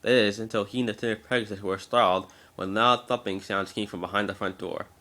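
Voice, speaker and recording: A man with a bright, forceful voice, pitched slightly low, narrates a story. It is an amateur dramatic reading with the odd stumble over a word. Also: fairly even intonation, brisk, slight background noise.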